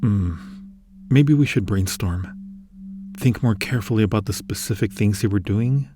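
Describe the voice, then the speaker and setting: A man playing a friendly, curious being from another universe in an ASMR roleplay, speaking in a gentle, reassuring, musing way. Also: lowish voice, normal speed, background noise, natural voice. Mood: calm, reassuring. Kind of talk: ASMR roleplay addressed to the listener.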